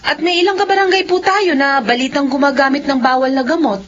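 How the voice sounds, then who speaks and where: An actress with a Filipino accent playing an earnest, sceptical young village daughter in a radio horror drama. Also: female voice, high-pitched, steady tone, brisk, a bit bright. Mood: sceptical, questioning. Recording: muffled, noisy radio drama audio.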